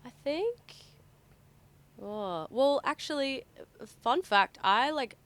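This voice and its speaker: A woman's voice: high voice, lively intonation, slow pace, fairly bright tone. Chatty young woman, singer in a band. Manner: chatty, lots of "like" fillers. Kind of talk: casual interview chat.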